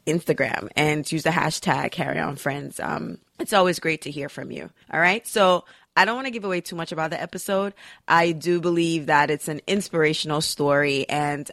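A woman's voice: low voice, fairly bright tone, dry recording, clean studio sound. A woman hosting a podcast, chatty and upbeat, with many fillers as she introduces her guest. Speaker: podcast host. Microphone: studio mic.

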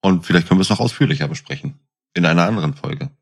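A man's voice: low voice, racing, creaky, breathy edge. A man chatting casually as a podcast co-host, explaining and asking probing questions.

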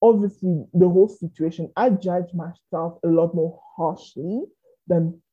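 A lower-pitched woman from South Africa, a business coach, answers earnestly and openly. Her voice is husky and comes through a tinny, phone-like line. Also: steady tone, a little slow. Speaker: business and marketing coach, guest. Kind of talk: conversational interview.

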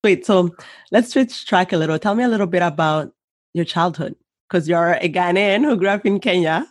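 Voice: mid-range voice, moderate pace, no echo, clean studio sound. An interviewer who asks questions and keeps up a stream of short agreeing replies. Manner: brief encouraging backchannels. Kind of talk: casual interview.

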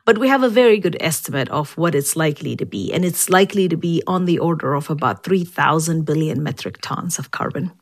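A woman scientist speaking as an interview guest, explaining in a measured way and stressing key points. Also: low voice, animated.

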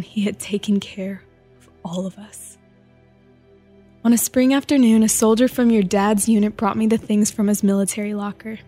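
A woman giving a measured, solemn dramatic reading of a personal letter. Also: mid-range voice, warm tone. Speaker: reader.

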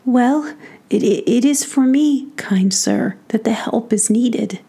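A woman's voice: sits high, lively intonation, slow, gravelly, darkish tone, voice pushed up, full character voice. A woman voicing a small, earnest young fairy prince in a storybook, nervous, polite and formal. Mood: hesitant, earnest. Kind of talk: bedtime storybook character voice.

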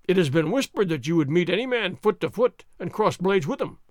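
Guy doing a loud sneery sergeant, high and swoopy, full of himself. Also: expressive, a little fast, no echo, clean studio sound. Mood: taunting. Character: blustering boastful soldier sergeant, middle-aged man. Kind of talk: audiobook character reading.

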